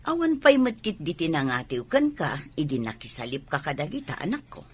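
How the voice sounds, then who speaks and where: An Ilocano-accented woman playing a stern, scolding village mother with a husky, forceful delivery in a radio drama. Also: deep voice, wildly swooping pitch, brisk, exaggerated voice. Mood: worried motherly.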